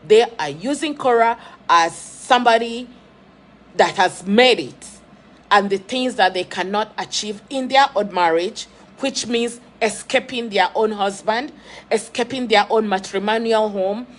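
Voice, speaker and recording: A woman's voice: medium pitch, unhurried. A woman hosting an opinionated livestream commentary, speaking emphatically and repeating phrases to drive her points home. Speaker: online video host and commentator. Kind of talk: opinionated social media commentary, livestream talk.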